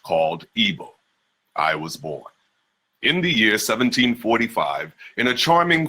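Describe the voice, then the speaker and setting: A man reading aloud, in a measured and formal way, as an 18th-century memoirist recalling his African childhood. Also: deep voice, bright, forceful tone, husky, heavily acted.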